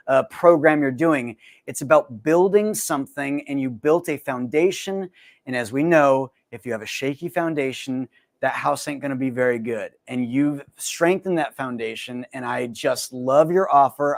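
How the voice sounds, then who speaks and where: A man's voice: medium pitch, vocal fry. An American man hosting a podcast, chatting in an upbeat, joking way with his guest and reading the show's closing notes. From United States. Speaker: podcast host. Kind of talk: casual interview chat, then read promo outro.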